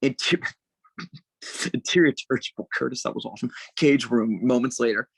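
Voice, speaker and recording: Casting: male narrator for a script table read, average pitch, close dry sound. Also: moderate pace, husky, no room sound, low-quality recording. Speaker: stage directions reader.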